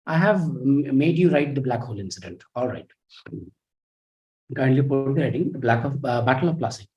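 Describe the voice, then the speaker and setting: A man with an Indian English accent giving a live online history lecture. He speaks quietly and in a measured way, and he reads out comments from his students. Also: lively intonation, rough recording. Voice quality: low, soft voice. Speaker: history teacher.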